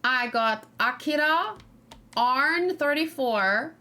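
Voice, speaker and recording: A woman gaming streamer chatting casually with viewers, thinking out loud as she plays and breaking into animated exclamations. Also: high-pitched, slow. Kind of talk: casual live game commentary, chatting with viewers.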